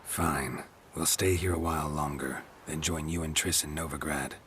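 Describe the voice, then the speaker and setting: An actor playing a gruff, laconic male monster hunter with a gravelly, very deep voice in a game cutscene. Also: fairly even intonation, normal speed, a bit bright, a little hiss or hum. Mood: dry. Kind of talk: video game cutscene acting.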